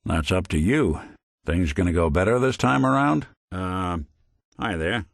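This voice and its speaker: Hardboiled robot gumshoe, American accent, low-pitched, wry, streetwise, world-weary, dry close recording, video game dialogue. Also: male voice, expressive, unhurried, vocal fry, no room sound, exaggerated voice. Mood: wry, casual. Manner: wry, streetwise, old-time gumshoe delivery. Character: world-weary, wisecracking robot private detective, hardboiled and principled. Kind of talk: scripted game dialogue in a hardboiled noir detective manner.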